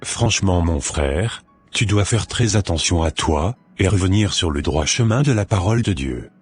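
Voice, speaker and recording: Man acting a devout stern pastor, very deep voice, solemn, preachy, soft music under. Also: expressive, normal speed, breathy edge. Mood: admonishing. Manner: solemn, preachy, fervent in prayer.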